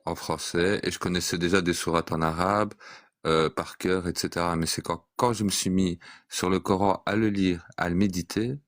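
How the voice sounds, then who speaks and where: Man, very deep voice, dry sound, calm, methodical. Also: moderate pace, no echo. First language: French speaker. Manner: calm, methodical, recites verses. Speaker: debater. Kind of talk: online debate.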